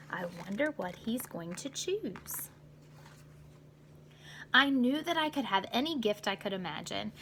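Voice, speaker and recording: A woman's voice: high-pitched, pushed and bright, a little hiss or hum. A woman reading a children's storybook aloud as a teacher, expressively, with little asides to young listeners about the pictures.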